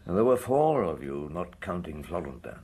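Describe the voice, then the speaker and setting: A probing police detective in a radio drama, low and husky. He is deliberate and understated, with sympathy under the questions and a widely moving melody to his speech. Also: big pitch swings, darkish tone, heavily acted. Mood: gently blunt. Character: measured, probing, sympathetic male police detective, also narrating.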